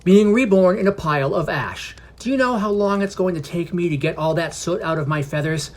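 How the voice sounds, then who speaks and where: A narrator voicing an angry, foul-mouthed little male owl familiar who rants and shouts. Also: mid-range voice, a little fast, pitched above the performer's own voice, natural voice. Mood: furious. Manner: shouting, ranting.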